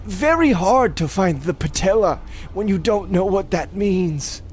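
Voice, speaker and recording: A man's voice: sits high, lively intonation, measured pace, darkish tone, slight background noise. A man playing a nervous, rambling young mad scientist in a parody radio play, flustered, evasive and dramatic.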